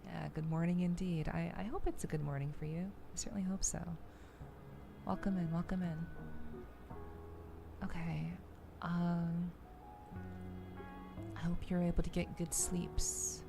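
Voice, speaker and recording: A woman hosting a soft-spoken ASMR gaming livestream, chatting casually with viewers and murmuring hesitantly as she thinks aloud, half whispering at times. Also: fairly low pitch, steady tone, a little slow, vocal fry, pauses often. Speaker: VTuber livestream host. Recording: loud music behind the voice. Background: music.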